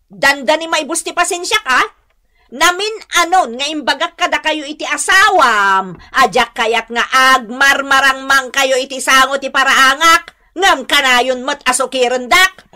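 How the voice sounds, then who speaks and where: A woman's voice: high-pitched, measured pace, full character voice. An actress with an Ilocano accent playing a haughty, quarrelsome, well-off woman who scolds and bosses people in a radio drama. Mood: scornful, angry. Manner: domineering, scolding, sharp.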